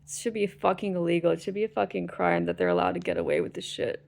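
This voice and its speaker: A woman's voice: fairly low pitch, very animated, fast, creaky, darkish tone. A woman vlogging to camera, stressed and exasperated, venting with frequent swearing. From United States. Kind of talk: ranting to camera.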